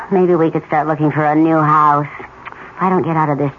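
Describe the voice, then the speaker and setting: A woman's voice: sits low, fairly even intonation, normal speed, close to an everyday voice. Woman playing a fretful housewife on tinny radio. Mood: exasperated, wistful. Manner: fretful, emphatic. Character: exasperated, eager housewife yearning for a better life. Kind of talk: 1970s radio drama acting. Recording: tinny old radio broadcast, faint noise.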